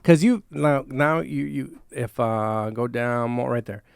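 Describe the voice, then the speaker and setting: A man hosting a podcast, firing off casual, quick questions in a relaxed chat. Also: medium pitch, creaky, smooth, no echo, studio quality. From Mexico. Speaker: podcast host.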